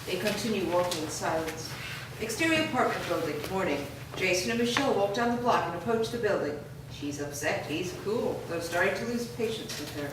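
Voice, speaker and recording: A woman reading the stage directions in a staged reading, in a plain, even manner. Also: fairly low pitch, a little fast. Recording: roomy echo, far from mic, noise.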